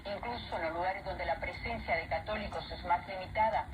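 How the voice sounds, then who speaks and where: A woman's voice: on the low side, quick pace. A woman reading scripted news as a radio presenter, heard through a shortwave radio. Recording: crackly shortwave broadcast with background babble.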